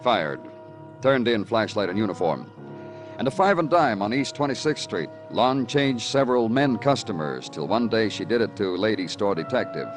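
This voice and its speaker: An actor playing a world-weary American police detective, clipped, dry and hard-boiled, in vintage radio crime drama style. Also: male voice, lowish voice, expressive, moderate pace, soft background music, close to an everyday voice. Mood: clipped narration. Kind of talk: 1940s-50s American radio crime drama acting and narration. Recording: old radio broadcast recording.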